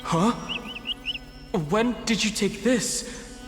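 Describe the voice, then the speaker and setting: A man playing a reserved, thoughtful young travel companion in a video game, measured, dry and earnest. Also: high-pitched, reverberant, in a large hall, over music. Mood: dry, reluctant. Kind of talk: English video game voice acting.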